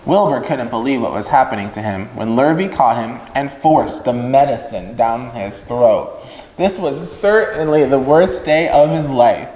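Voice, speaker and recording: A man reading a storybook aloud to children in an expressive narrating style. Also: medium pitch, a little slow. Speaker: children's story-time reader. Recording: echoey room with long reverb.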